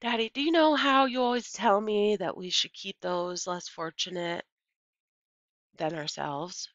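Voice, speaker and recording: A woman's voice: medium pitch, measured pace, a bit bright, no room sound, natural voice. A woman voicing an earnest young girl in a storybook, politely coaxing and pleading with emphasis. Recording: dull, lo-fi computer microphone sound.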